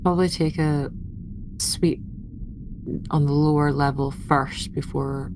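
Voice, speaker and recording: Woman, low voice, casual game chat, informal player, clear ambient noise. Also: fairly even intonation, takes it slowly. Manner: informal, describes her character's moves in third person.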